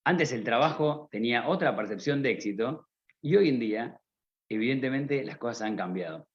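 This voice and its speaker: A man's voice: mid-range voice, lively intonation, moderate pace. Argentine guy giving a motivational talk on stage, keeps asking the crowd questions, kinda muffled recording. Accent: Argentine Spanish. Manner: rhetorical questions, addresses listener directly. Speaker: conference speaker. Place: conference stage. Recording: slightly dull lo-fi sound.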